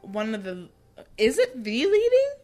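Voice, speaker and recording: A woman's voice: on the high side, huge pitch swings, a little slow, warm tone, faint music behind. A woman co-hosting a podcast in casual banter, playful, teasing and irreverent. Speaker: podcast co-host.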